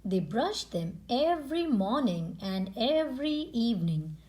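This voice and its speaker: A woman reading a children's picture book aloud in an expressive storytelling style. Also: on the high side, a little slow, background noise. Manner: expressive, acts out character lines. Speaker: storytime reader and channel host. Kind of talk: children's read-aloud narration.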